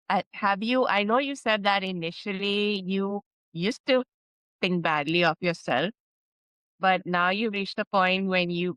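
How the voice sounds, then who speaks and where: A woman's voice: mid-range voice, fairly bright tone, dry recording, low-quality recording. A woman co-hosting a podcast, chatting casually and thinking aloud with fillers. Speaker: podcast co-host. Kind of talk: casual conversation.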